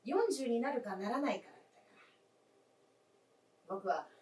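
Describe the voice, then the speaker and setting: A man playing a reflective grown son in theatrical radio drama style, speaking in a measured, wistful way. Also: extremely high pitch, sing-song. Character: reflective grown son remembering his late mother. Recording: echoey stage, distant mic.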